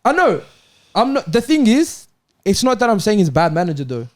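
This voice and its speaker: A man's voice: sits high, sing-song, brisk, no echo. A man in a casual, insistent sports debate, arguing his point as a talk show panelist. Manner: argumentative, insistent.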